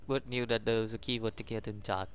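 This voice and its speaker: Young man reading game text haltingly. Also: on the low side, flat delivery, fairly bright tone. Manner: halting reading, stumbles over words. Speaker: gaming YouTuber. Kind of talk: casual let's play, reading game text aloud with commentary.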